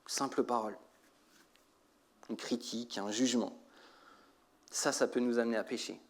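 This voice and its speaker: Male church preacher, mid-pitched voice, exhorting delivery with repetition for emphasis. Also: steady tone, normal speed. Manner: exhorting, rhetorical questions to the congregation. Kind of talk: sermon.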